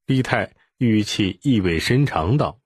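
A man's voice: lowish voice, expressive, unhurried, slightly rough, dry recording, clean studio sound. A man reading an audiobook as a scripted, dramatic story narration.

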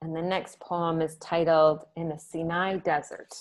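Low-voiced woman reading poems softly. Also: flat delivery, low-quality recording. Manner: soft, measured reading with brief asides. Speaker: poet reading her work. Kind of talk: poetry reading on a video call. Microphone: video call.

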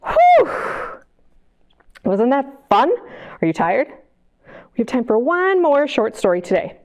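A woman leading a children's storytime, her voice pitched a little high and swooping lively up and down as she reads a picture book aloud. She coaxes the kids along in an encouraging way, recorded through a camera mic. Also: slow. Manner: bright, encouraging, talks to kids. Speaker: children's librarian.

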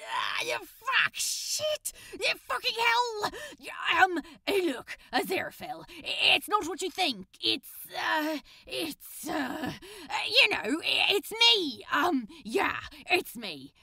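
A woman's voice: very high voice, lively intonation, takes it slowly, gravelly, bright, forceful tone, pitched above the performer's own voice, heavily acted. A woman voicing a desperate, flustered captured male superhero in a storybook reading, stammering and blurting with sarcastic edges. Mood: panicked, flustered. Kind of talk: acted fan fiction audiobook reading.

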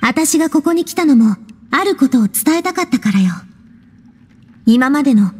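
A woman's voice: high voice, lively intonation, moderate pace, darkish tone. An actress playing a frank, big-sisterly young woman in a video game, speaking breezily and directly. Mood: serious. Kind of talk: Japanese video game voice acting. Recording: reverberant hall-like echo. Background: faint music.

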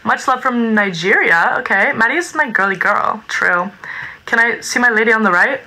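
Young woman on a phone live from her bedroom, bubbly, reads chat out loud, bright kinda high voice. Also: animated, moderate pace, low-quality recording. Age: young adult. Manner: bubbly, reads chat aloud, jokey sing-song repeats. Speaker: livestream host. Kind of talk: casual livestream chat reading comments. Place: small bedroom with string lights. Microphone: phone held at arm's length.

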